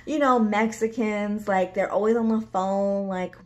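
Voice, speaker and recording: A woman's voice: mid-range voice, steady tone, measured pace. Rambling woman in her thirties. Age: 30s. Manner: rambling, many "like" fillers, laughs a lot. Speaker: vlogger. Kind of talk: casual personal storytelling.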